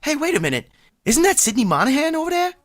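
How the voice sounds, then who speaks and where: A man plays a boastful, easily flustered working-class man on a night out. He blusters through his lines and trips over his words when nervous. His pitch swings widely in a lively radio comedy delivery. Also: fast talker, full character voice. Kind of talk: vintage radio comedy acting.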